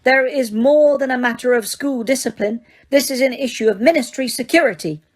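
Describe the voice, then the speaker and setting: A woman voicing a menacing, prim middle-aged witch official in a high, tight, coldly insistent voice. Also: brisk. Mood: agitated.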